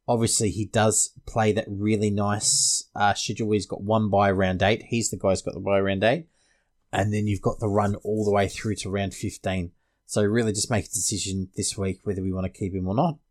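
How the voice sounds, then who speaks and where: A man's voice: fairly low pitch, level tone, a little fast. An Australian man hosting a sports podcast, casually discussing fantasy rugby league.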